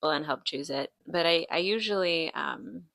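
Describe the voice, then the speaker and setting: A woman's voice: sits low, measured pace, creaky, a bit bright, dry recording, clean studio sound. Rambling, chatty woman in her late twenties. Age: 20s. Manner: chatty, rambling, with many ums and tangents. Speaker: podcast host. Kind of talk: casual chat.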